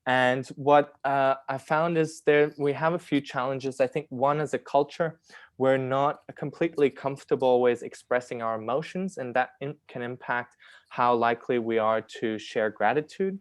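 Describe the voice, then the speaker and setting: A man's voice: medium pitch, moderate pace, clean tone, dry recording, clean studio sound. A man hosting an online session and introducing a guest, hesitant with many fillers. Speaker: host.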